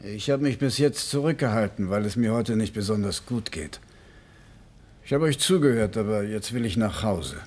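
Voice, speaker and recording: An actor playing a seasoned interrogating cop in a German radio crime drama, cunning and exasperated, lecturing deliberately and with condescension. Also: male voice, fairly low pitch, lively intonation, a little mellow. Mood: weary, irritated.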